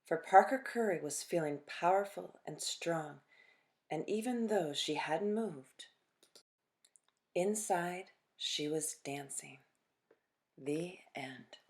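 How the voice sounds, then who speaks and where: Woman, storytime reader, slightly low voice, unhurried pace, expressive read-aloud, deliberate dramatic pauses.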